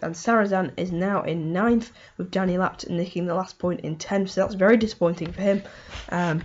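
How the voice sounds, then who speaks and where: A woman with a hoarse voice from a cold, hosting a casual motorsport race review and rambling through it with lots of "um" and "you know". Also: on the low side, darkish tone, lo-fi sound. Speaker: motorsport video host.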